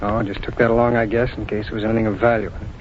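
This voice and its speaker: An actor brings to life a calm, methodical federal agent who talks in a businesslike, matter-of-fact way. The recording is muffled like an old 1940s broadcast, with a faint hiss beneath it. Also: male voice, lowish voice, very fast, lightly acted. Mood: investigating. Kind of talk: 1940s radio acting.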